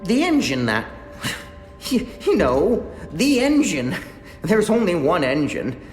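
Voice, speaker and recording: A jittery young engineer who stammers and rambles. His voice sits fairly high and leaps up and down as he talks. The game audio rings with long, roomy reverb. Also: wildly swooping pitch, takes it slowly, faint music behind, heavily acted. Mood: flustered. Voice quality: stammering, flustered, talkative. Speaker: lead engineer character. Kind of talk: video game voice acting.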